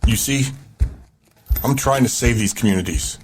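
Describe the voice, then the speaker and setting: A man playing a coldly controlling community leader in an audio drama, calm and deliberate, posing as benevolent. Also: mid-range voice, a little hiss or hum, natural voice. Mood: controlled, persuasive. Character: menacing captor and cult-like community leader, falsely benevolent.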